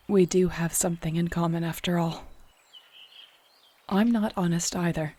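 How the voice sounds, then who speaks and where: A woman performs a resolute wolf shifter heroine in a fantasy audio roleplay. Her voice is thick with fry and fairly flat in pitch, steady and defiant. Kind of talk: audio roleplay drama acting.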